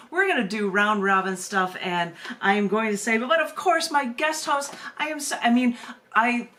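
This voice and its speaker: An American woman speaking as a poet and open mic host, emphatic and conversational, often repeating words haltingly. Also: medium pitch, pushed and bright. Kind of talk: spoken-word poetry reading and open mic hosting.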